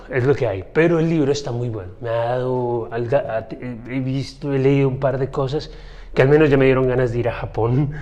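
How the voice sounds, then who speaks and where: A man's voice: medium pitch, darkish tone. A man from Colombia, hosting a solo podcast in a casual, reflective way and talking straight to his listeners. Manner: chatty, addresses listeners directly; reflective, personal storytelling. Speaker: podcast host.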